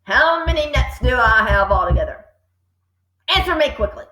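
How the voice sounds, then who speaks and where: A woman voicing a furious, bullying headmistress who shouts insults at children, reading in an echoey room. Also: sits high, animated, normal speed.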